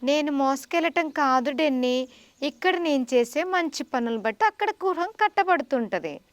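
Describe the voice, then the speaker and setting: Puppet show teacher: high-pitched woman, patient and instructive, explaining to a child, recorded dry. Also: lively intonation, moderate pace, no echo, faint music behind.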